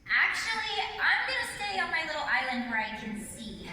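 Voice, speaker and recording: A woman voicing a stubborn, nervous young blind girl in an animated series script read live on stage. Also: high-pitched, normal speed, full character voice. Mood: reluctant. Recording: echoey large hall, distant, noisy crowd.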